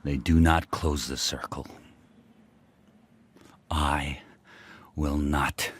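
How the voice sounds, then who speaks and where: Proud battle-worn sled dog, very low voice, deliberate and intense, short poetic phrases, radio drama narration. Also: male voice, fairly even intonation, husky, full character voice. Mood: grim, defiant. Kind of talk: dramatic first-person radio drama monologue.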